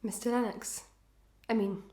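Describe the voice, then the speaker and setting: Actress as proud, pleading melodrama wife. Higher female voice, archaic formal diction, very roomy sound. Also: steady tone, a little slow, dark tone, lightly acted. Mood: formal, strained. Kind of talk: old-fashioned melodramatic stage acting.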